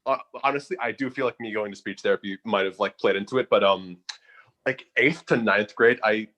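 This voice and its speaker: Young male guest, lowish voice, stutters and repeats words, loose casual chat. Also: a little fast, fairly bright tone. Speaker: podcast guest. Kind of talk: casual conversation.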